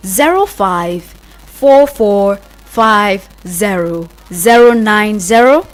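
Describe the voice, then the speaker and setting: A woman's voice: fairly high pitch, rough recording. A woman with a Nigerian accent presenting live radio in a chatty, informal way, repeating herself for emphasis as she explains things step by step. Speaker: radio host. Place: radio studio.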